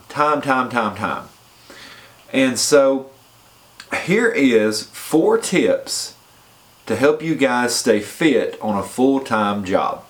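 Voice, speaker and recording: A man with a head cold, sounding congested, giving casual fitness advice in a chatty way and often checking in with the listener. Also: mid-range voice. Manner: chatty, repeats points and checks in with "okay?". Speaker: fitness vlogger. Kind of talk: casual advice talk to camera.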